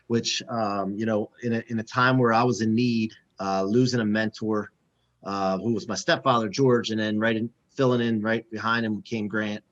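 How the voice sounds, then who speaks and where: A man hosting a podcast, talking in an energetic, hype-style way as he promotes and interviews. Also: lowish voice, moderate pace, dull audio. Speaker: podcast host.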